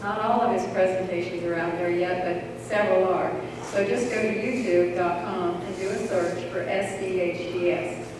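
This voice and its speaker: A woman's voice: lowish voice, fairly even intonation, normal speed. An older woman, vice president of a local society, reading an earnest introduction from her notes. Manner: reads from notes, plain and earnest. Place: large school auditorium. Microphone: handheld mic, recorded from a far camera. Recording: echoey hall from far off, audible noise.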